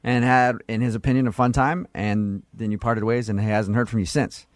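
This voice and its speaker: A man's voice: mid-range voice, fairly even intonation, fairly quick, no echo. A man hosting a morning radio show with teasing, joking banter, close on a studio microphone.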